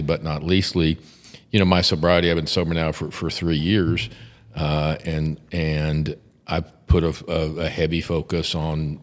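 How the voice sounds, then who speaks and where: Gravelly, deep Texan man, blunt and profane. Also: long reverb tail. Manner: blunt, profane, halting restarts. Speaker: podcast host, defense attorney. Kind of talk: casual podcast chat.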